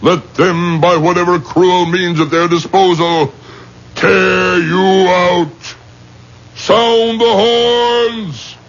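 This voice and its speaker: Slow, husky, stern rabbi. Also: male voice, high-pitched, fairly even intonation, exaggerated voice. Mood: commanding, thunderous. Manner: solemn, incantatory, declamatory. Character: stern, commanding male rabbi judge and exorcist. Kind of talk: 1970s radio drama acting. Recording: muffled old radio recording.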